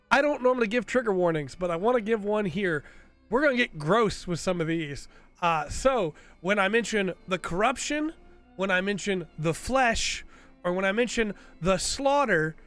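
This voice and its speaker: A man with a cold talks through a casual commentary video. His voice sits a little higher than most men's, and he rambles on, tagging his points with "right?" as he goes. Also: a bit bright. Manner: rambling, tags lines with "right?". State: has a cold. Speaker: video host.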